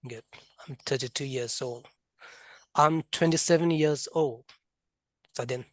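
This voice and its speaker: Male English teacher, Karbi accent, drills pronunciation, middling pitch, dry close sound. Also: no room sound. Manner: drills words slowly, repeating sounds for learners. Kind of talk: classroom lesson, mixing local language and English. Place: classroom.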